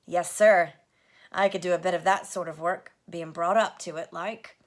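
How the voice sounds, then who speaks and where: A woman's voice: lowish voice, steady tone, moderate pace, close to an everyday voice. A woman voicing a humble middle-aged male London cabbie with a Cockney accent, speaking in a homely, earnest, hesitant way. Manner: homely working-class speech, earnest and hesitant.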